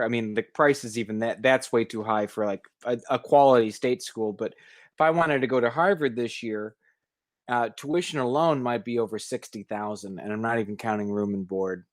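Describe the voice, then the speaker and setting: A man from the United States with a medium-pitched voice comments on the news as a co-host. His delivery is conversational but measured. He halts often and chooses fairly formal wording.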